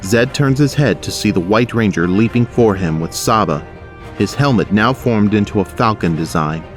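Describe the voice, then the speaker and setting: A man's voice: on the low side, lively intonation, moderate pace, soft background music. A man narrating an action audio drama from a script.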